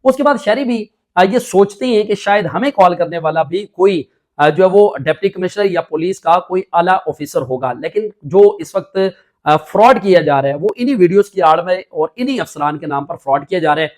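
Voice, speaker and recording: A Pakistani man reports the news in a somewhat high voice. His delivery is emphatic commentary, spoken off the cuff with recurring filler phrases. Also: normal speed, darkish tone.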